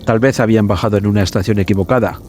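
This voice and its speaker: A man narrates a horror tale in a low, dark, mellow voice. His storytelling is measured and dramatic, as in a scripted suspense podcast, with music underneath. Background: background music.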